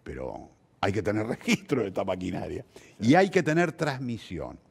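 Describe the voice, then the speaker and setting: A man's voice: lowish voice, huge pitch swings, normal speed, creaky, slightly rough. An older man with an Argentine Spanish accent reflecting in an interview, repeating and rephrasing for emphasis. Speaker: interviewed theatre director and teacher.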